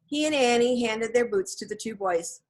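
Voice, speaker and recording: A woman's voice: fairly high pitch, moderate pace. Woman narrating a children's storybook. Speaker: audiobook reader.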